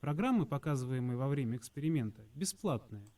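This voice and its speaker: A man's voice: mid-range voice, expressive, quick pace, mellow. A man reading scripted dialogue for a language lesson, heard as played-back audio. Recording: distant playback of lesson audio.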